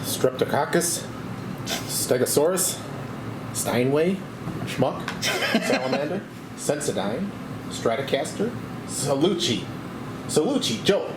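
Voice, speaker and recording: A man's voice: on the high side, big pitch swings, slow, pauses often. Man playing an earnest bumbling hero, echoey room. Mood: searching. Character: earnest, muddled male comedy hero. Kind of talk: comic screenplay table read. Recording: echoey room with clear background noise.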